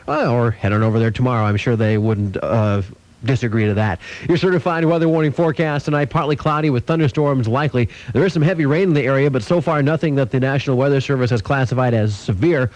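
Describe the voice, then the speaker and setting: A man's voice: on the high side, darkish tone, no room sound. An American man working as a country radio DJ, chatting to listeners in a playful, folksy way. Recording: radio broadcast aircheck with music bed. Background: music.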